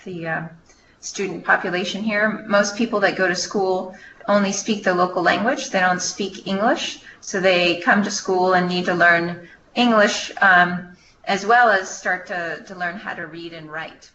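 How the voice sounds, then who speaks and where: A woman's voice: lowish voice, steady tone, measured pace, pushed and bright. A woman staff member informally answering questions on a conference call, explaining in a hesitant way as she thinks aloud. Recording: muffled, noisy conference call line.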